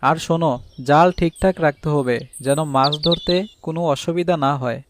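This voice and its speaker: A man's voice: a little high, moderate pace, lightly acted. A man voicing a practical, good-humoured village fish farmer and husband in a cartoon fairy tale, explaining things step by step. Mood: encouraging. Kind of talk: animated fairy tale voice acting. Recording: clearly noisy track with birdsong.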